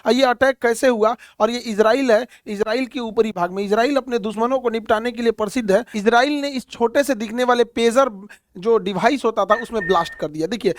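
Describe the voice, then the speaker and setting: A man's voice: very high voice, a little fast, no room sound, clean studio sound. A man teaching a current-affairs lesson in a plain explaining manner, pointing things out on a map and using many fillers. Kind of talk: explainer lecture.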